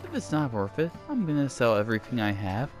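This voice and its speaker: A man's voice: mid-range voice, sing-song, quick pace. A man with a mild British-style accent, chatting in a rambling, self-conscious way as he plays and reads aloud. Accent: mild British-style accent picked up from TV. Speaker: let's play gamer. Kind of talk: casual gameplay commentary and reading aloud. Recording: faint game music behind.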